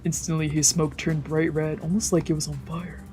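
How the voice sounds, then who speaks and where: A woman streamer reading a visual novel aloud with casual, excitable, sweary reactions. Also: deep voice, normal speed, faint music behind. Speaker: let's play streamer.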